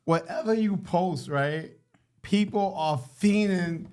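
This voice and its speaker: Blunt, high-voiced man, swearing casually. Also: slow, darkish tone. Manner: blunt, laid-back, swears freely. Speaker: podcast guest. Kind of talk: casual chat.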